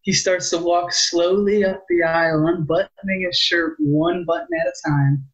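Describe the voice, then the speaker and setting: A man's voice: sits high, animated, normal speed, vocal fry, lo-fi sound. A young man chatting playfully on a podcast, telling jokes and giggling at them. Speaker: podcast co-host. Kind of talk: joking casual banter.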